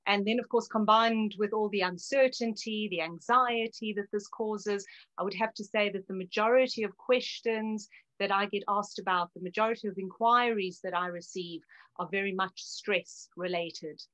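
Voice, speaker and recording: A woman's voice: mid-range voice, moderate pace, a bit bright, poor audio. A middle-aged woman with a South African accent, giving explanatory interview answers as a health adviser in an articulate way. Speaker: guest naturopath and health adviser.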